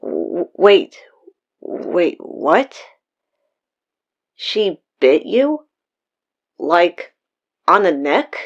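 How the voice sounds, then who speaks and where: A woman's voice: lowish voice, big pitch swings, extremely slow, long pauses, exaggerated voice. A woman acting a worried, no-nonsense sister in an audio drama phone call. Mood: concerned.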